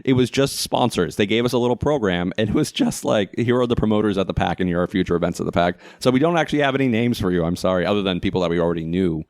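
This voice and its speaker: A man's voice: fairly low pitch, rapid-fire, hardly any pauses, darkish tone, dry recording, studio quality. An American man co-hosting a podcast, chatting casually and wryly about local theater. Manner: jokey, wry, chatty. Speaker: podcast co-host.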